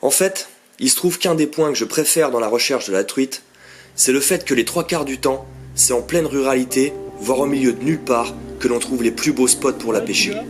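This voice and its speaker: A man's voice: mid-range voice, normal speed. A man in his thirties narrating his fishing video in a scripted voice-over, with a wry, self-mocking humour. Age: 30s. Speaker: fishing vlogger. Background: background music.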